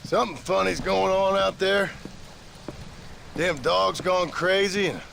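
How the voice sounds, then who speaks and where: Gravelly, laconic American rancher. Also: male voice, high-pitched, fairly even intonation, unhurried, a bit bright, slight background noise, exaggerated voice. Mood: uneasy, guarded. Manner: laconic, dry. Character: gruff, weary, wry frontier rancher, husband and father. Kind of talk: video game cutscene acting.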